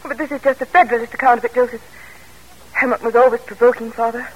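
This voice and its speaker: A woman's voice: fairly high pitch, steady tone, fast, husky. An actress playing a spirited, devoted young woman in an old-time American radio drama, speaking earnestly and theatrically. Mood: defiant. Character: spirited, devoted young daughter of an ambitious colonel. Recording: vintage radio broadcast, thin telephone-like tone.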